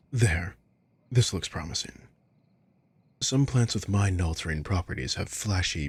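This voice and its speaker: A man playing a sadistic, controlling villain in an ASMR roleplay, breathy and husky, speaking with slow, condescending, taunting deliberation. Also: deep voice, full character voice. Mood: smug, musing. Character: sadistic, controlling male villain toying with a captive.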